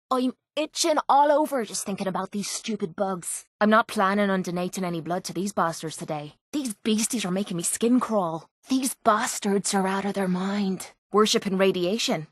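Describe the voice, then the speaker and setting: A woman's voice: mid-range voice, normal speed, hardly any pauses, no room sound, close to an everyday voice. An actress playing a tough, sardonic young female brawler in a video game, with an Irish accent and a brash, earthy way of talking. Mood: scornful, sardonic. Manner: brash, sarcastic, earthy slang. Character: tough, foul-mouthed, sardonic female brawler with a violent streak. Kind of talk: video game character voice lines.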